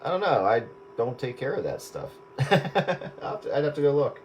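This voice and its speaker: A wry, hesitant man in his mid-range voice talks over a radio link, pausing to think as he goes. Clear static hiss runs under him. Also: medium pitch, big pitch swings. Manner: hesitant, self-deprecating, wry. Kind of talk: casual radio chat.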